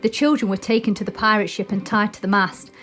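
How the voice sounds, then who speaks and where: A woman's voice: mid-range voice, steady tone, quick pace, a bit bright. A woman narrating a children's storybook expressively. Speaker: storybook reader.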